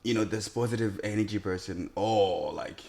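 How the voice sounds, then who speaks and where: A man hosts a podcast in a relaxed, easygoing way. He chats casually and keeps nodding along with short, agreeable replies. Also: lowish voice, moderate pace, creaky. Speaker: podcast host. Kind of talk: casual chat.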